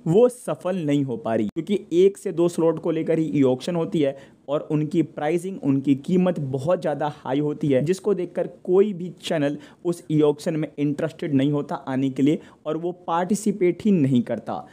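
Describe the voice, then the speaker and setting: A man's voice: on the high side, normal speed, mellow. A man hosting a news update, narrating informally and speaking directly to viewers in a conversational way. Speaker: host of a TV channel news update show.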